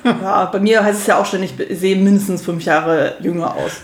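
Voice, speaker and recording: A woman's voice: medium pitch, fairly even intonation, fairly quick, echoey room, a little hiss or hum. A woman in her thirties co-hosting a podcast, chatting casually with an amused tone. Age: 30s. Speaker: podcast co-host. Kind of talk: casual rewatch podcast chat.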